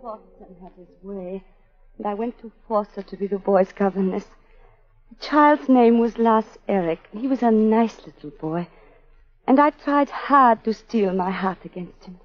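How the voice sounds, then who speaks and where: An actress playing a guarded, troubled governess in a clipped, dramatic 1940s radio style. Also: female voice, mid-range voice, measured pace, lightly acted. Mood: reflective. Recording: dull 1940s radio broadcast, music behind.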